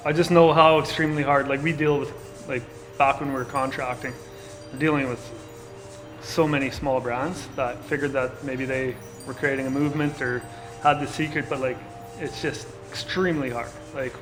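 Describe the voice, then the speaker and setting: A man's voice: medium pitch, normal speed, low-quality recording. A middle-aged man from Canada speaking casually in a documentary interview, with lots of filler words. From Saskatchewan, Canada. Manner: relaxed and casual, with many filler likes. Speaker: co-founder and designer. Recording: interview with music playing underneath.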